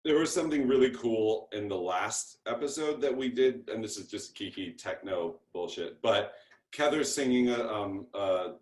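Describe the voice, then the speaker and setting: Low-voiced man in an echoey room. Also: lively intonation, moderate pace. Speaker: panelist in a TV show reunion. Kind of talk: casual anecdote telling. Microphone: video call. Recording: roomy, echoey video call audio.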